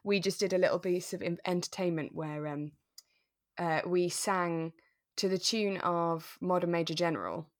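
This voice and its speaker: A woman co-hosting a casual review podcast, chatting in a giggly, animated way with enthusiastic gasps. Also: fairly low pitch, moderate pace, no room sound, clean studio sound. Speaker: co-host of a radio drama review podcast. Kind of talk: casual chat.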